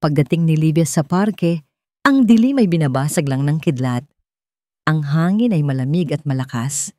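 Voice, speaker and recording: A woman's voice: low voice, expressive, moderate pace, a little mellow, no room sound, studio quality. A woman storyteller giving a scripted, dramatic narration of an emotional tale.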